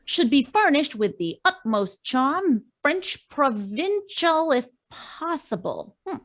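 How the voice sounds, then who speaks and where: A woman's voice: high voice, very animated, slow, heavily acted. A woman playing a brash, wisecracking young rental clerk in an old-time radio play over a video call. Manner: brash, sarcastic. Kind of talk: 1940s radio acting.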